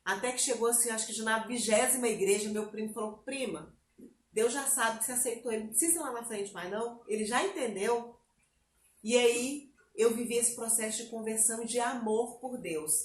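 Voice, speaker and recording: Lively Brazilian woman telling her testimony. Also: fairly high pitch, brisk, echoey room. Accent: Bahian Brazilian Portuguese. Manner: lively storyteller, acts out her own past words. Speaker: interview guest. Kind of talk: personal testimony, casual chat.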